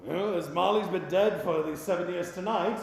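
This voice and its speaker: A man's voice: high voice, moderate pace, gravelly. An actor playing a grumpy, scornful old miserly businessman in a radio play, barking and sarcastic. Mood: cold, dismissive. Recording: very echoey, long hall reverb.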